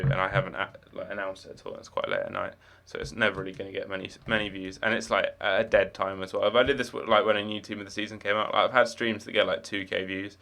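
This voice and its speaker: A young man with no particular accent chats through a late-night game stream, his voice sitting slightly low. He rambles loosely, restarting sentences and padding them with fillers. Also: creaky, fairly bright tone. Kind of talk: casual live-stream chat.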